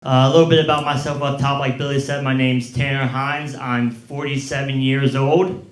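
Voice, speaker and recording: A man in his twenties playing a self-deprecating, depressed young man in a naturalistic audio drama, speaking haltingly. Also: medium pitch, flat delivery, lightly acted. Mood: self-deprecating joking. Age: 20s. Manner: hesitant, halting, with filler words. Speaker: stand-up comedian and patient in a scripted podcast. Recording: roomy, echoing sound.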